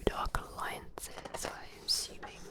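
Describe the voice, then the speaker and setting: Whispering woman, soft ASMR commentary. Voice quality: soft, breathy unvoiced whisper. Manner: gentle, unhurried, chatty and admiring. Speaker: ASMR host. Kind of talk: whispered ASMR commentary while leafing through an art book.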